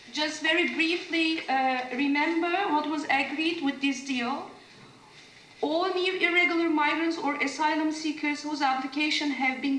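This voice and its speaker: A woman's voice: high voice, fairly bright tone, echoey room, poor audio. A woman from Turkey giving an academic conference talk over a video call, hesitant, with many fillers and restarts. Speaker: conference panelist. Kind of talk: academic presentation. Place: room in Germany.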